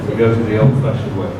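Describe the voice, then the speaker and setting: A man giving a formal talk as guest speaker at a university seminar, speaking carefully with many "uh" fillers. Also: fairly low pitch. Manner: measured, careful delivery with frequent "uh" fillers. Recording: very echoey room, distant mic, background noise.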